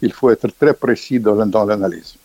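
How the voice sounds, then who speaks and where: An older man being interviewed as an author, speaking emphatically with many restarts and sharp pitch rises on key points. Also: normal speed, dry recording, highs cut off. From Ukrainian background. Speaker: author guest. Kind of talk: interview, political commentary.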